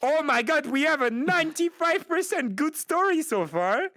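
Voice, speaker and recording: High-voiced playful male streamer. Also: animated, moderate pace, pushed and bright, dry recording, studio quality. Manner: playful, laughs often, theatrical reading. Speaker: streamer host. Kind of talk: casual stream chat, reading viewer confessions.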